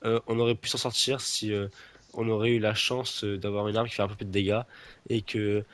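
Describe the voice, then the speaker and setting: A man's voice: lowish voice, flat delivery, fairly quick, fairly bright tone. A young man with a stuffy nose from a cold, chatting casually and teasing his friend while playing a video game, rambling with lots of fillers and restarts. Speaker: gaming video creator. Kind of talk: casual gameplay chat with a friend.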